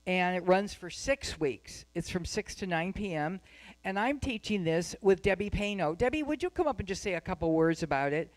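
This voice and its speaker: A woman's voice: fairly low pitch, animated, normal speed. A woman giving live spoken announcements to a congregation, speaking at a microphone in a meeting room.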